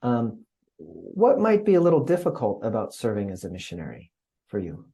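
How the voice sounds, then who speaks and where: A man acting as interviewer, asking gentle questions with careful, halting phrasing. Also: fairly low pitch, huge pitch swings, creaky. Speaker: interviewer, pastor.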